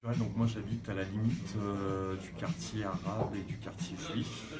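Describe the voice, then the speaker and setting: A man's voice: on the low side, level tone, unhurried, reverberant, over loud music. A young male student answering interview questions hesitantly, with many fillers. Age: young adult.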